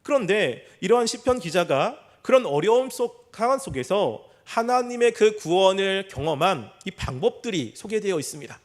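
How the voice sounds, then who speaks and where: A man preaching an expository sermon in a measured, explanatory manner with formal, polite phrasing. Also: sits high, very animated.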